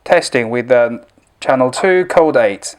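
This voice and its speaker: A man's voice: mid-range voice, animated, measured pace, smooth. A man presenting an informal product tutorial, explaining each step in a plain, instructional way.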